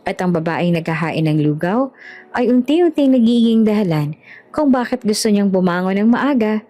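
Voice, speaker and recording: A woman's voice: medium pitch, lively intonation, moderate pace, dark tone. A woman reading a scripted romance story as the narrator of an audiobook. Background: background music.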